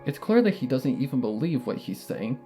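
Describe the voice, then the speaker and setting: A man with a mid-range voice reads a story aloud in the first person, steady and measured. Faint music runs underneath. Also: medium pitch. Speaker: story narrator.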